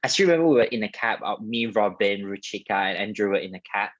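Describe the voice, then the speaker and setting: A man's voice: on the low side, animated, fast, fairly bright tone, dry recording, lo-fi sound. A young man from Malaysia with a Malaysian accent, telling personal stories in a chatty, wordy way as a guest dentist in a career talk. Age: young adult.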